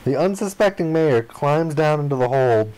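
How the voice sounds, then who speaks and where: A man with a somewhat higher-pitched voice reads story text aloud from a visual novel. He dramatizes the dialogue, performing the lines as part of a game playthrough. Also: lively intonation, moderate pace, darkish tone, a little hiss or hum, low-quality recording. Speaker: gaming video player reading aloud.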